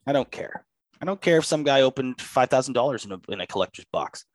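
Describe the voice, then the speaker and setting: A man's voice: mid-range voice, lively intonation, quick pace, dry recording. A man co-hosting a podcast, talking in a casual chat, exasperated and ranting, with a stuffy head cold. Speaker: podcast co-host.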